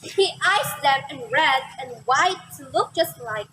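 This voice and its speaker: Slow, very high, animated woman storyteller. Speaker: readers theater narrator. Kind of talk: scripted children's story narration. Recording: amateur recording with clear noise.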